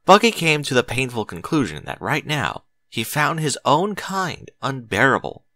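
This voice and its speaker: A man narrates fiction with a very lively, swooping delivery at an average pitch. His voice is bright and forceful, recorded dry and close, and he phrases each line theatrically with clear emphasis. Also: moderate pace, no echo. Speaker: audiobook narrator. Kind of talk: dramatic scripted story narration.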